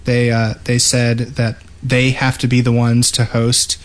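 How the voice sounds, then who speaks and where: A man's voice: medium pitch, completely flat delivery, unhurried. A man hosting a podcast in an informal, conversational way, chatting with co-hosts and reading out sign-offs. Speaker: podcast host. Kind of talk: casual podcast banter and sign-off.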